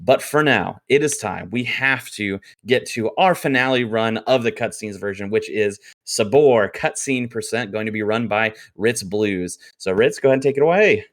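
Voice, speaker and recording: A man's voice: fairly low pitch, moderate pace, hardly any pauses. A man hosting a livestreamed gaming show, speaking to viewers as its presenter. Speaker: show host. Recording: background music under the voice.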